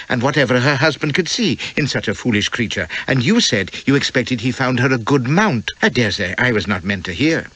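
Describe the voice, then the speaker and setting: A man voicing a sweet, jealous, scatterbrained young Victorian wife, breathy and pleading as he reads the story. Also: mid-range voice, a bit bright, dry recording, rough recording. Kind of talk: audiobook character voice.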